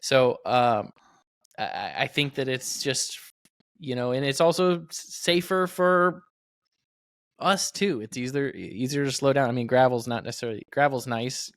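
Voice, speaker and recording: Measured man, dry podcast sound. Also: mid-range voice, a bit bright, dry recording. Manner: measured, hesitant, thinking aloud. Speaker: podcast co-host and professional race car driver. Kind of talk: casual motorsport podcast discussion.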